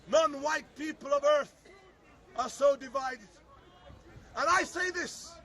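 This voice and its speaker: A man's voice: extremely high pitch, sharp tone. A man giving an impassioned political speech in the street as a soapbox orator, declamatory and emphatic, with repetitive phrasing. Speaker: public soapbox speaker. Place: outdoors at a public speakers' corner. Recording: outdoor recording with faint crowd. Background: faint crowd, urban outdoor sound.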